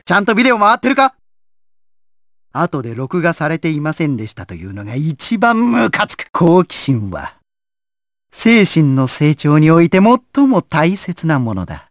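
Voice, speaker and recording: An actor playing a sadistic, deranged surgeon villain in a video game, theatrical, moving between silky menace and manic screaming. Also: male voice, fairly high pitch, dry recording, studio quality. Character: sadistic, deranged male villain surgeon, gleefully obsessed with despair. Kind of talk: Japanese video game voice acting.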